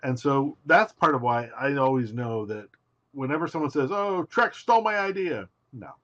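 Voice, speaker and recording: A man being interviewed as a guest writer, telling his story in an emphatic, animated way. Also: medium pitch, moderate pace, muffled recording. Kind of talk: casual interview.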